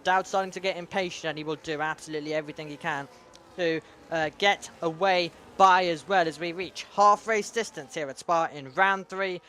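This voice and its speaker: A man commentating a sim racing league race, calling battles and building to excited shouts when cars fight wheel to wheel. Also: fairly high pitch, clean tone.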